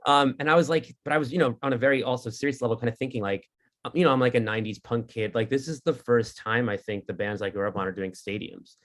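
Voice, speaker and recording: A man's voice: medium pitch, steady tone, fast talker. A man hosting a music podcast from his apartment, chatting casually with lots of ums and likes. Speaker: podcast host interviewing a band. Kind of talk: casual interview chat over video call.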